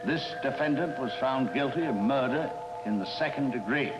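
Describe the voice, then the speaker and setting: An actor playing a stern, formal judge and earnest husband, speaking precisely in an old-style suspense drama. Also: male voice, medium pitch, moderate pace, highs cut off, over music, close to an everyday voice.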